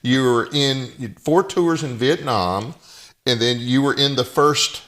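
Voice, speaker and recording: A man hosting a casual interview, informal and a little halting, often affirming his guest. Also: mid-range voice, fairly bright tone. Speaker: interview host.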